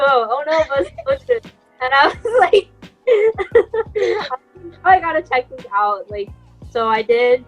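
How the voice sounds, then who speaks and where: A woman's voice: high voice, lo-fi sound. A young adult woman co-hosting a casual podcast among friends, talking in an earnest, self-deprecating, enthusiastic way. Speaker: podcast co-host.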